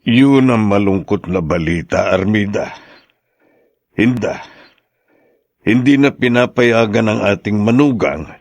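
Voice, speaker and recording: An actor playing a gentle, sorrowful older grandfather with halting, measured delivery in a radio drama. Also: on the low side, lively intonation, no echo. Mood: somber.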